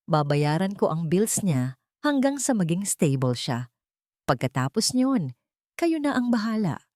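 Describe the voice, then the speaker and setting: A woman's voice: on the low side, big pitch swings, measured pace, a little mellow, no room sound, clean studio sound. A woman voicing a cold, resolute wronged wife who speaks with composure in an audio drama. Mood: cold, dismissive.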